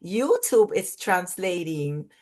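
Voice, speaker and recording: A woman's voice: fairly low pitch, very animated, a little mellow. A woman whose first language is Spanish, a clinical psychologist being interviewed on a video call, speaking in careful, list-like phrasing. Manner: slow, thoughtful, list-like phrasing. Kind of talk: interview conversation.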